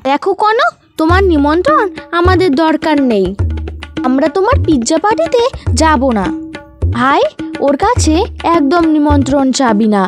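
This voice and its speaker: A sensible young bird sister from a cartoon, played by a woman, proud and firm. Her pitch is very high and lively, over clear music. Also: moderate pace, long pauses. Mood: indignant. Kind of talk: animated cartoon voice acting.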